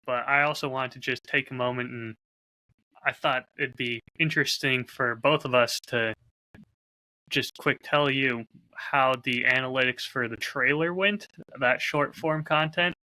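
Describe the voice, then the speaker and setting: Bright, forceful man chatting on a podcast. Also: medium pitch, fairly even intonation, a little slow, poor audio. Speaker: podcast host and audio drama creator. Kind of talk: casual podcast chat.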